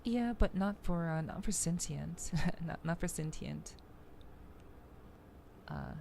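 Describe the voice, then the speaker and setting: A woman hosting a livestream, chatting casually with viewers in a halting, measured way, often repeating words as she thinks. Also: fairly low pitch, lively intonation, vocal fry, a little mellow, a little hiss or hum. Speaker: VTuber livestream host.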